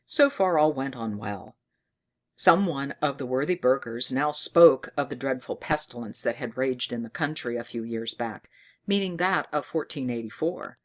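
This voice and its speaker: A narrator reading a fairy tale in an expressive, theatrical storytelling manner. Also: mid-range voice, moderate pace.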